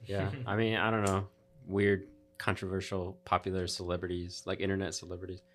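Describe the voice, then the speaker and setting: Deep-voiced man hosting casual chat. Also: completely flat delivery, fairly quick, a bit bright. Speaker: podcast host.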